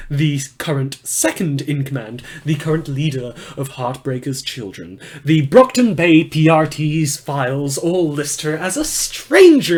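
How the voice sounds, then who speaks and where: A snide news presenter acted out by a man for a fiction podcast, with a fairly high male voice. He reads villain profiles theatrically, full of sarcasm and mock concern, and draws out key words. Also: close to an everyday voice. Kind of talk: dramatic in-character monologue for a fan fiction podcast.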